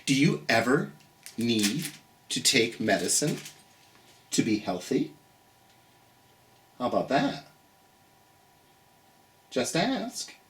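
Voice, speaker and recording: A children's storytime host reads aloud in an expressive, encouraging way, speaking directly to young listeners. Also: mid-range voice, slow pace, pauses often, a little hiss or hum. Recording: roomy, echoey sound.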